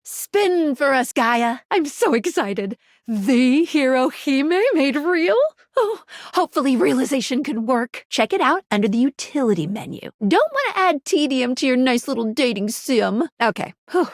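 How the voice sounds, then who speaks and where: A woman's voice: high voice, sing-song, a little slow, no echo, studio quality, exaggerated voice. A woman voicing a peppy, quirky female guide in a dating sim video game, with a perky, theatrical delivery. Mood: excited, playful, then chatty, wry. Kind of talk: animated video game character voice lines.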